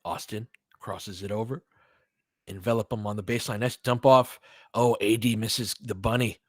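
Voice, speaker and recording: A man's voice: on the low side, steady tone, moderate pace, dry recording, clean studio sound. A man doing informal live basketball play-by-play, mixing short clipped calls with conversational coaching analysis and fan-like asides.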